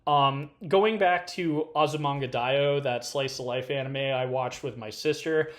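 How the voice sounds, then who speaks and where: A man's voice: mid-range voice, measured pace. A man with a Californian accent chatting casually as a podcast co-host, full of fillers and restarts. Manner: rambling, filler-heavy, animated. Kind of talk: casual conversation.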